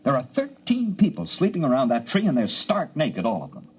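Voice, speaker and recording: A man's voice: mid-range voice, wildly swooping pitch, fairly quick, a little mellow, husky. An actor playing an agitated, defensive male biologist in 1950s radio drama, stammering and emphatic. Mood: flustered.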